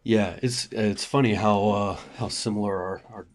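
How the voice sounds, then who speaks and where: A man hosting an interview, chatting in a relaxed, halting way with frequent restarts. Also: fairly low pitch. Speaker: host. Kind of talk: casual interview chat.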